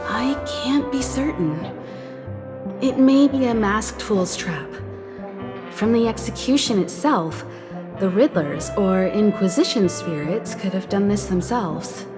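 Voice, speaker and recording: An actress voicing a composed, cautious woman who leads a space crew in a video game, speaking in a measured, thoughtful way. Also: medium pitch, expressive. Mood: wary, measured. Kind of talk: English video game voice acting. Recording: music playing behind the voice.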